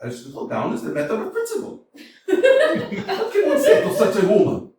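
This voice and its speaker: Man doing a loud blustery landowner, hot tempered, voice swings high and wild, echoey far-off stage. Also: wildly swooping pitch, normal speed, a little hiss or hum, full character voice. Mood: impassioned. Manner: blustering, theatrical, shouting bursts. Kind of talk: staged reading of a stage play. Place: small community theater. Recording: echoey room, far from mic.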